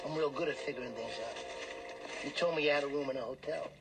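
An actor in a radio drama playing a pushy, greedy small-time hustler who talks in cocky, needling slang. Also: male voice, mid-range voice, brisk, lightly acted, low-quality recording. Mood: smug. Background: music.

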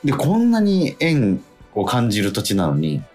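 A man's voice: mid-range voice, wildly swooping pitch, normal speed, a little mellow. A man co-hosting a radio show, chatting casually and reading listener mail, with hesitant phrasing that often backtracks. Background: background music.

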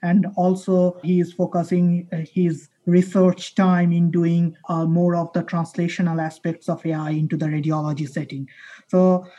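A man hosting a podcast, introducing his guest and co-host in a quick, run-on way with some fillers. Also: fairly high pitch, flat delivery, dark tone, highs cut off.

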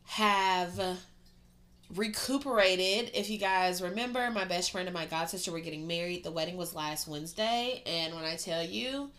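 Young woman, low-pitched, casual podcast chat, profane, filler-heavy, recovering from a cold. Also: moderate pace, fairly bright tone. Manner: chatty, profane, many fillers like "um" and "like". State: getting over a cold. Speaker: podcast co-host and photographer.

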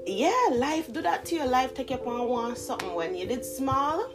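A woman's voice: high voice, expressive, a little slow, over music. A woman in her thirties with a Jamaican Patois-inflected Toronto accent, talking straight to camera in a casual motivational monologue, laughing often and switching between slang and standard English. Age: 30s. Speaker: vlogger.

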